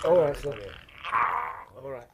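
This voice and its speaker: An actor playing an anxious police sergeant in a radio drama, urgent and commanding. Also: male voice, a little high, a little slow, very noisy, natural voice. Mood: alarmed, urgent.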